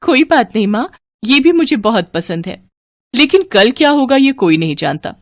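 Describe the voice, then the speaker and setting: A woman voicing a sweet, dutiful young village daughter in a gentle, earnest, girlish manner for a moral story. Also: fairly high pitch, big pitch swings, normal speed, dry recording, clean studio sound, pitched above the performer's own voice. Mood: earnest, grateful.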